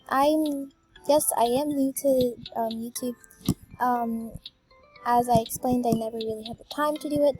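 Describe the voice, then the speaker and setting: Bubbly high-pitched teen girl gamer. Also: mellow, poor audio. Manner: bubbly, full of filler ums, exclaims at game fails. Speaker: gaming YouTuber. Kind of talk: casual voice-reveal chat while gaming.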